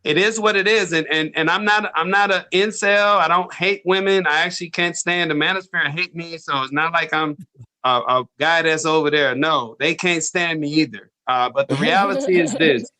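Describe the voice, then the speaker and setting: A man talks bluntly and emphatically into an online call mic, much too loud for the channel. His voice sits high and bright, and he swears as he pushes his points. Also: moderate pace, low-quality recording. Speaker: panel guest.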